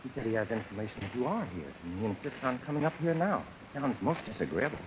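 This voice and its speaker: A man's voice: mid-range voice, animated, quick pace, lightly acted. A man acting as a shy, fussy young assistant in a 1940s radio drama, prim, fretful and polite. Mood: flustered, prim. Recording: hissy vintage radio recording.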